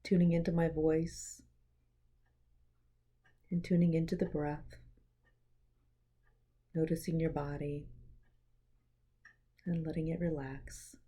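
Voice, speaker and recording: A woman leads a guided meditation in a lowish, husky voice. Her tone is very mellow and relaxed. She speaks gently and in a measured way, leaving breathy pauses between phrases. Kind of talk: guided meditation, soothing scripted narration.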